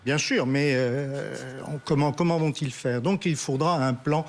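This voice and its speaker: Halting male analyst, fairly high voice. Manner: halting, searching for words, repeats himself. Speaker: studio guest analyst. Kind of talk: unscripted political commentary.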